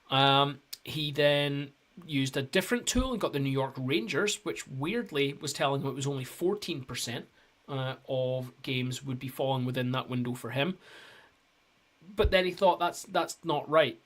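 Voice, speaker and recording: A man's voice: a little high, fairly bright tone. A man co-hosting a hockey podcast, telling a story in a rambling, casual way with lots of ums and restarts. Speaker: podcast co-host. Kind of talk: casual chat.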